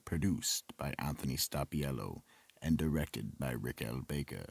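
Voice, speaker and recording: A man with a husky, gravelly voice, ominously narrating and announcing a scripted horror radio drama. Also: rumbling low, no echo. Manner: slow, ominous.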